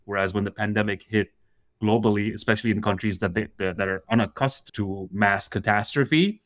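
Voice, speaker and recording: A man in his forties from Russia, a comedian guest on a podcast, telling stories in an animated way, laughing mid-sentence and acting out quoted voices. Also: lowish voice, a little fast, no echo, clean studio sound. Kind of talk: casual podcast conversation.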